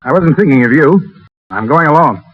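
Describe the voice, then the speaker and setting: A man's voice: fairly high pitch, animated, normal speed. An actor playing a hard-boiled, proud legionnaire private with slangy tough-guy talk in a 1930s radio drama. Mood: bitter, cold. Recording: muffled 1930s radio recording, music behind.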